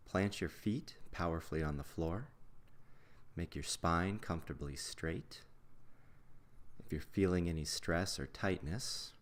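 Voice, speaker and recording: Male meditation guide, low register, measured scripted delivery, deliberate pauses between phrases.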